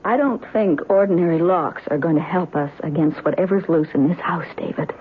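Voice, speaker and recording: A woman's voice: on the low side, fairly quick, lightly acted. A woman acting a frightened wife who is convinced of supernatural danger, in a 1970s radio drama. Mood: alarmed. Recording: muffled, faintly noisy 1970s radio broadcast.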